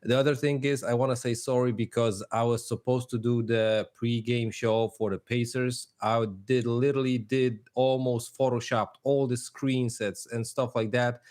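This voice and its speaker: A man's voice: mid-range voice, level tone, moderate pace, hardly any pauses, darkish tone. A man speaking as a panelist in a casual sports chat, with hesitant, roundabout phrasing.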